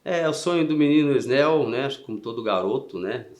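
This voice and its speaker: A man in his fifties from São Paulo with a São Paulo accent, answering interview questions in a soft, halting way with frequent "né" tags. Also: mid-range voice, lively intonation. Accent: São Paulo Portuguese. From São Paulo, Brazil. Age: 50s. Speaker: interview guest, Paralympic swimmer.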